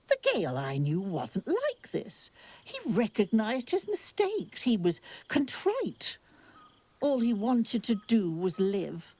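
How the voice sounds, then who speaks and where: An actress playing a wizard's tart, disapproving companion in a fantasy game, dry, sardonic and refined. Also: female voice, mid-range voice, darkish tone, breathy edge, dry recording, heavily acted. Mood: scornful. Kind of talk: dramatic game voice acting.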